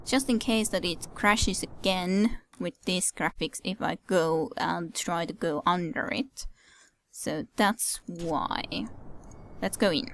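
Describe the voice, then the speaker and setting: A woman's voice: fairly low pitch, takes it slowly, fairly bright tone. A woman giving casual game commentary, musing aloud hesitantly and repeating her words. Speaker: let's play commentator.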